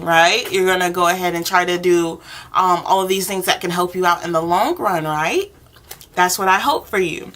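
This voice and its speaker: Casting: woman as a tarot reader, bright forward voice, chatty and encouraging, talking directly to one client. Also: lowish voice. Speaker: tarot reader, channel host. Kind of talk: role-play tarot reading addressed one-to-one to the viewer.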